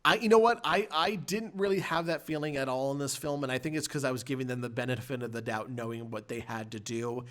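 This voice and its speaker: A male podcast host with a middle-pitched voice talks through film scenes casually. His delivery is halting and rambling, stopping and starting as he thinks through each point. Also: fairly even intonation, a bit bright. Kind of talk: casual film discussion, recapping and reviewing scenes.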